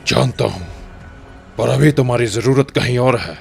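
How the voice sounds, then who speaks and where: A weary warrior god: gravelly, curt, grim. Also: male voice, medium pitch, normal speed, faint music behind. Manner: grim, terse, commanding. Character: stern, weary male warrior god, a father. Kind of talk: dubbed game cutscene acting.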